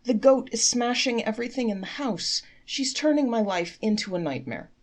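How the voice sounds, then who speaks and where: Woman acting a poor overwrought man, high-pitched and dramatic. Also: lively intonation, normal speed. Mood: wailing, frantic. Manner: wailing, dramatic, pleading. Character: poor, frantic, overwrought man pleading with his rabbi. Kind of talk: storybook character voice.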